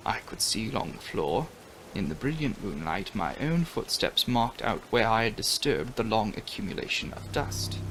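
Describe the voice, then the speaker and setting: A man with a fairly low, creaky voice narrates a gothic novel. His reading is theatrical and very varied in melody, dropping into hushed phrases and swelling for emphasis. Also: low-quality recording. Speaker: audiobook narrator.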